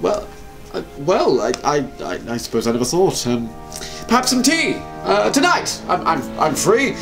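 A man's voice: high-pitched, big pitch swings, moderate pace, vocal fry, music in the background. A young man in a scripted comedy sketch, talking in a flustered, stammering, fussy way. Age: young adult. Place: small home room, indoors.

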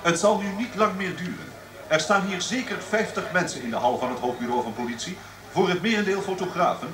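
A man's voice: high voice, a little fast, reverberant, recorded from a distance, music in the background, close to an everyday voice, poor audio. An actor playing a tense male news reporter giving live commentary in a radio play. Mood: tense, expectant.

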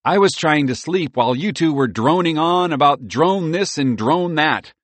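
A man voicing a sleepy, excitable male pet squirrel who hushes others drowsily and gets gleeful about new things. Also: a little high, normal speed, dry recording, voice pushed up. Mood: excited. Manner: groggy and drowsy at night, gleeful by day.

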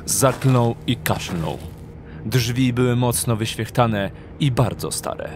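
A man's voice: medium pitch, animated, takes it slowly. A man narrating a dramatic audio drama from a script. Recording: clear music behind the narration.

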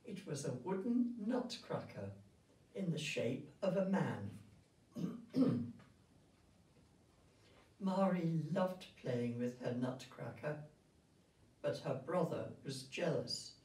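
An elderly woman reading a storybook aloud to children in a gentle, grandmotherly and expressive way. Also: sits low, takes it slowly, pauses often. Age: 70s or older. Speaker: retirement village resident reading a story. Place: indoor room with festive backdrop. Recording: roomy sound, distant microphone.

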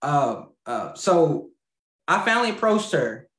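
A man's voice: on the high side, big pitch swings, takes it slowly, a bit bright. A man in his thirties telling a personal story as a guest on a video call, rambling with fillers and restarts and laughing mid-sentence. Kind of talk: casual storytelling. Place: home room. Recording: roomy video call audio.

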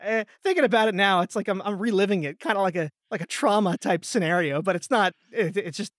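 A man hosting a podcast in casual chat, rambling and halting as he repeats words. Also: sits high, a bit bright, no room sound, studio quality. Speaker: podcast host.

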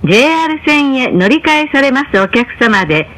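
Female announcer, slightly high voice, formal and measured, for scripted in-car train announcements. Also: lively intonation, a bit bright, poor audio.

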